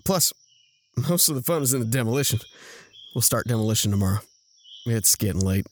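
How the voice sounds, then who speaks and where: A man playing a practical, protective brother in an audio drama, gravelly and wry, explaining things with incredulous patience. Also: mid-range voice, lively intonation, a little fast, slight background noise, close to an everyday voice. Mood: patient, caring.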